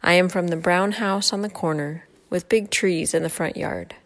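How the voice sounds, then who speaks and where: Woman, somewhat low voice, reading a personal poem with a steady rhythm. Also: normal speed.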